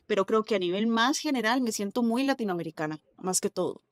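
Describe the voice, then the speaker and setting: A woman's voice: mid-range voice, animated, fast, no echo. A woman with a Costa Rican accent, a novelist chatting as a guest in an interview and dropping local slang. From Costa Rica. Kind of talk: casual interview conversation.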